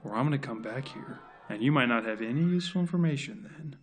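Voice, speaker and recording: An actor playing a stern, cynical field agent in command, speaking curtly in an audio drama. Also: male voice, mid-range voice, lively intonation, quick pace, faint music behind, close to an everyday voice. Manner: curt, commanding.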